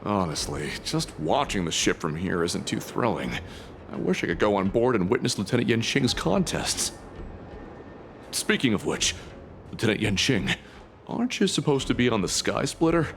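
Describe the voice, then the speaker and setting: An actor playing a hesitant soldier on guard duty who stammers when surprised, in a game cutscene. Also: male voice, fairly low pitch, sing-song, normal speed, creaky, slight background noise. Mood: earnest. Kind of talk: video game cutscene voice acting.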